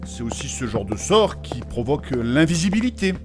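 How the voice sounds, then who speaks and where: A man's voice: mid-range voice, big pitch swings, normal speed, music in the background, close to an everyday voice. A man playing a courteous, learned mage in a fantasy audio drama, speaking in formal, precise, long-winded sentences. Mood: explanatory, earnest. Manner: formal, precise, pedantic phrasing with polite address.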